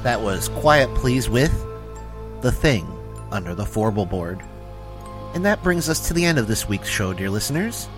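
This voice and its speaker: A man's voice: lowish voice, very animated, normal speed, over music. A man hosting a story show, giving a scripted wrap-up to listeners. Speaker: podcast host.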